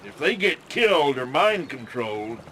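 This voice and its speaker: An actor playing a gruff, folksy army general in a game, commanding and urgent, with an emphatic, colloquial manner. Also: male voice, mid-range voice, very animated, moderate pace, a bit bright. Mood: firm briefing. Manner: emphatic, colloquial, barking orders. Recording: noisy game audio, military ambience behind.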